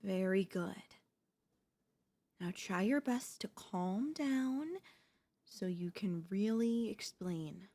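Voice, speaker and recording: A woman acts out a doting yandere wife in an ASMR roleplay. She speaks slowly at a medium pitch, tender and breathy, with hesitant stammers. Beneath the soothing care she is anxious and quietly controlling. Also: animated. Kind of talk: ASMR audio roleplay, intimate acted monologue.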